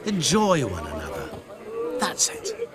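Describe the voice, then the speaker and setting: A sly, sinister merchant. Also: male voice, on the high side, a little slow, a crowd in the background. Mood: playful. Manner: smooth, calm, quietly menacing. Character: sly, sinister man in the guise of a humble merchant. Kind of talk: game cutscene voice acting.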